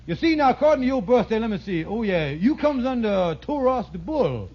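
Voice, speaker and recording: An actor playing a scheming middle-aged hustler in an old radio sitcom, with wheedling sales patter and grandiose misused words. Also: male voice, very high voice, very animated, fairly quick, exaggerated voice. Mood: smooth patter. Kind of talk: 1940s-50s radio sitcom acting. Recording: noisy, muffled vintage radio recording.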